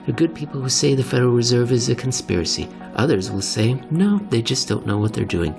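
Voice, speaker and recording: A man hosting a podcast, reading dramatically and commenting conversationally, with deliberate, theatrical phrasing and pauses for effect. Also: mid-range voice, lively intonation, vocal fry, music in the background. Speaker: podcast host and reader.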